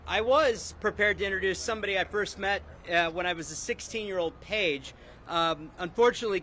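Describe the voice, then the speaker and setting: Guy emceeing a street event, kinda high voice, quick intros with ums. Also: pushed and bright, slight background noise. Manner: brisk, filler-laden emcee delivery. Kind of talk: introducing speakers at a press event. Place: outdoors on a city street.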